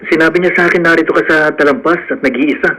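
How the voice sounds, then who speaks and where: A man's voice: a little high, rapid-fire, pushed and bright, low-quality recording. Earnest lovestruck young Filipino man, radio drama. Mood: earnest, urgent. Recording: music behind.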